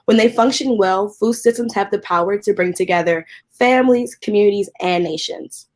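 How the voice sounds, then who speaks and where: A woman's voice: mid-range voice, normal speed, a little mellow. Young woman moderating a panel. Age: young adult. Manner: friendly, reads prepared background. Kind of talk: hosting a video-call panel.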